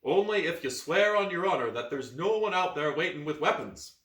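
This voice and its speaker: Man putting on a boastful young warrior boy, old-fashioned speech, bright tone, distant and roomy. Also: a little high, expressive, fairly quick, voice pushed up. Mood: bold heroic. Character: brash young male warrior from the past.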